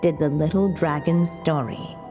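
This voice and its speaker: A woman playing a gentle, solemn storybook narrator in a video game, with a measured, tender, poetic delivery. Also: low-pitched, music in the background, close to an everyday voice. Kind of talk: scripted fairy-tale narration in a video game.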